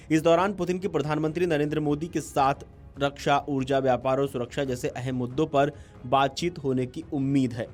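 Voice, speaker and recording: A man's voice: fairly high pitch, a little mellow. Male news narrator over music. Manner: formal. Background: background music.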